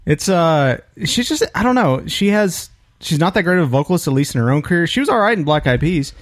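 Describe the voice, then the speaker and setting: A man's voice: a little high, sing-song, a little fast. A man hosting a casual podcast, bantering in a grumbling, profane way. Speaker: podcast host.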